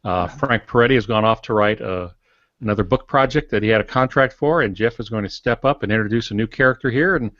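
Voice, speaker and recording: A man in his sixties with a lowish voice hosts a podcast informally. He talks in a halting, folksy way with a light joking touch. The sound is dry but slightly dull and lo-fi. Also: fairly bright tone, no room sound. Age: 60s. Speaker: podcast co-host. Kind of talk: informal guest introduction.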